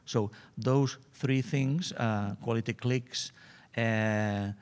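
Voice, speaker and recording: A man speaking as a university panelist at a conference, halting and searching for words. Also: mid-range voice, steady tone, clean tone. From Spain. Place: conference hall.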